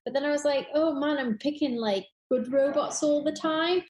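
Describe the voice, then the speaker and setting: A woman's voice: high voice, moderate pace, darkish tone. A woman chatting casually on a panel, rambling and gushing with enthusiasm. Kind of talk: casual chat. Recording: roomy, echoey sound.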